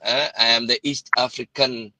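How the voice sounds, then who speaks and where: A man's voice: mid-range voice, flat delivery, moderate pace, bright, forceful tone, no echo, low-quality recording. A man from Kenya introducing himself on a live stream, jovial, with playful asides. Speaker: co-presenter.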